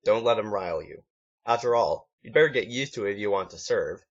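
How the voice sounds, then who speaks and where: A man's voice: on the low side, animated, a little fast. A man voicing a proud, defiant young pony army trainee in a story, terse and restrained. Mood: self-steadying. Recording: dull lo-fi home recording.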